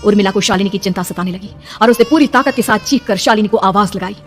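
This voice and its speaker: A woman's voice: mid-range voice, fast talker, faint music behind. A woman narrating a scripted horror cartoon story in a dramatic storytelling style.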